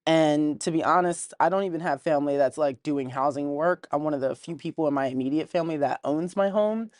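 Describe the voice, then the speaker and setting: A woman's voice: low-pitched, a little mellow, no echo. Earnest young woman organizer, dry sound. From Wilmington, Delaware. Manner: earnest, quick, laughs often. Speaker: community organizer, guest. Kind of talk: casual interview conversation.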